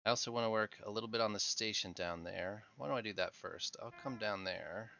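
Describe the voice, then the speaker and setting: A man with a croaky voice hosting a casual live game stream, chatting and laughing easily as he builds. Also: lowish voice, a little fast. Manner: relaxed, laughing easily while narrating his building. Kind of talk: casual live gameplay chat. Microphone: headset microphone. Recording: dull headset mic sound.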